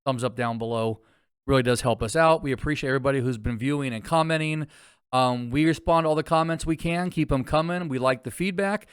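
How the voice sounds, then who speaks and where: A man's voice: mid-range voice, fairly quick, clear, resonant tone, no room sound, studio quality. A man co-hosting a sports betting podcast, chatting casually and joking around. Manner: easygoing, joking. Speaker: podcast co-host.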